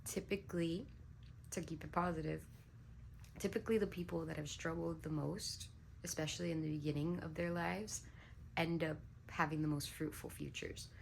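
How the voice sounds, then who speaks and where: Young woman vlogger, a little hoarse, low-pitched with vocal fry; musing, unscripted, throat-clearing delivery. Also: fairly even intonation, normal speed, a little mellow, slight background noise, low-quality recording. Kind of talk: casual reflective vlog talk.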